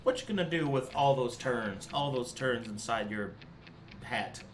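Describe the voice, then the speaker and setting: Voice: mid-range voice, a little slow, slight background noise. A playful, exclamatory game master running an online tabletop game over a group voice call.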